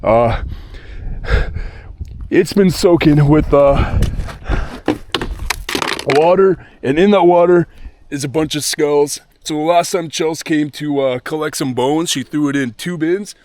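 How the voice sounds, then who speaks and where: A young man talks straight into a handheld camera outdoors, swearing casually and sounding thoroughly disgusted. His voice sits a little high and is fraying into hoarseness. A faint hiss of noise runs underneath. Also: takes it slowly, long pauses, darkish tone. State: voice going hoarse. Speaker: vlogger. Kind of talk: casual vlog commentary. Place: outdoors at a remote dumping spot.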